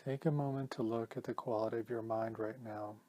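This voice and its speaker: Man, meditation teacher, calm and gentle, measured phrasing, flat steady intonation, mid-pitched voice. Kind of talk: guided meditation instruction.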